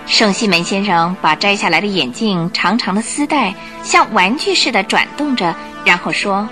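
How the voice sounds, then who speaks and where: A woman narrating a radio drama in an expressive, scripted storytelling style. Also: mid-range voice, moderate pace, over music.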